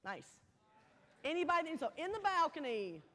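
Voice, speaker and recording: A woman's voice: high-pitched, very animated, a little fast, pushed and bright. A woman hosting a live event, speaking to an audience in an encouraging, direct way and prompting them to take part. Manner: encouraging, direct, asks questions of the crowd. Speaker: host, speaker leading an audience. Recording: echoey hall with audience noise.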